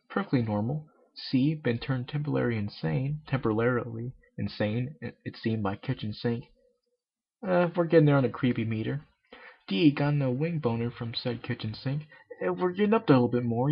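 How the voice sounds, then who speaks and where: Husky gravelly guy reading fanfic out loud, kinda sarcastic. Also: medium pitch. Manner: sarcastic, mocking commentary. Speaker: amateur fanfiction reader. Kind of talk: story reading with joking asides. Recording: dull lo-fi home recording.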